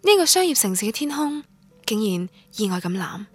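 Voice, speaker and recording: A woman's voice: medium pitch, big pitch swings, a little fast, husky, no room sound, soft background music. An actress playing a lonely young woman student, narrating her memories in Cantonese radio drama in a wistful, literary manner. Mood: wistful, reflective. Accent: Cantonese from Guangdong.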